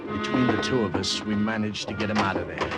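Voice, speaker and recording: A low-voiced, hard-boiled undercover agent. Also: male voice, sing-song, quick pace. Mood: wry, hard-boiled. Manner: terse, guarded, confiding when narrating. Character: tense, wry American undercover agent, quietly hard-boiled. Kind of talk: 1950 radio drama acting and first-person narration. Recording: vintage radio recording with music.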